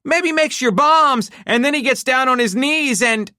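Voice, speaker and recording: A man's voice: high-pitched, expressive, moderate pace, bright, forceful tone, dry recording, studio quality, pitched above the performer's own voice. A man voicing a distraught, betrayed wife who accuses her husband in a shaking voice that rises to shrieks. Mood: shrieking. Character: distraught betrayed middle-aged wife, shrill.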